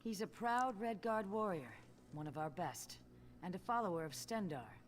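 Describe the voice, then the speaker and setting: An actor, a woman, playing an earnest, newly appointed guildmaster in a fantasy game, speaking in a measured, formal way. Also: medium pitch, lively intonation, lightly acted. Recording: game music underneath.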